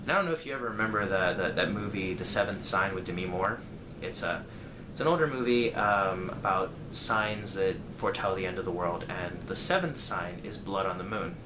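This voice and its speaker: A man with a lowish voice tells a casual story to his viewers, animated when he retells conversations. The recording is roomy and echoey, with clear background noise behind him. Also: a little fast. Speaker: storyteller vlogger.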